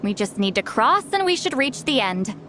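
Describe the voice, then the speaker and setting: A dry, knowing investigator. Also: female voice, sits high, big pitch swings, normal speed, sharp tone, soft background music, exaggerated voice. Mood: explaining.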